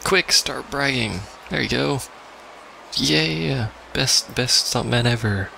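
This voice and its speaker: A man's voice: mid-range voice, slow, vocal fry, pushed and bright, a little hiss or hum, rough recording. A man doing casual let's play commentary, full of wry, sarcastic asides. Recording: game music behind the voice.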